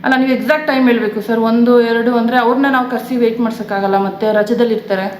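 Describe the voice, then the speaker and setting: Fast-talking, insistent woman in echoey room. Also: a little high, a little hiss or hum. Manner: insistent, deadpan, repeats herself. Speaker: prank caller posing as car service staff. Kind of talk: prank phone call, improvised.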